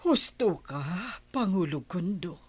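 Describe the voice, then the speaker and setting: A woman's voice: lowish voice, lively intonation, a little slow, a little mellow, breathy edge. A woman playing a humble, repentant tribeswoman in a Filipino radio drama, with music behind her voice. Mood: remorseful, grateful. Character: repentant, humble tribeswoman begging a chief's pardon. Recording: prominent music under the voice.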